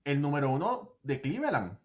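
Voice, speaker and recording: Co-host for sports talk: man, slightly high pitch, conversational, dull low-fidelity audio. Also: sing-song, normal speed, a crowd in the background. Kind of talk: casual baseball discussion. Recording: dull, lo-fi sound.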